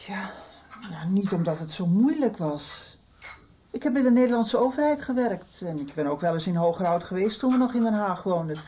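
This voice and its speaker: A woman's voice: medium pitch, animated, moderate pace, dark tone, lightly acted. Woman playing a chatty middle-aged dutch lady, welcoming, radio soap, bit of hiss.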